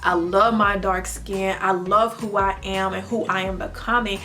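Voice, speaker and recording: A young woman from the United States talking casually to her viewers as a mother, earnest and faith-filled, with playful animated bursts. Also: mid-range voice, normal speed, faint music behind. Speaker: vlogger and mom. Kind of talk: casual talk to camera.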